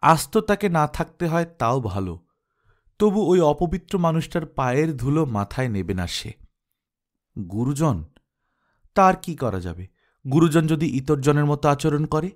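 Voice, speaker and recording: A man's voice: on the high side, sing-song, unhurried, pauses often. A man narrating a literary story in a dramatized audiobook reading style.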